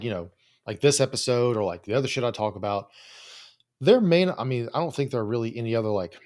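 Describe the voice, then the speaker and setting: A man giving informal solo advice as a coach, talking in a blunt, no-nonsense way with plenty of casual swearing. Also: mid-range voice. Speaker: host, psychologist and business coach for creators.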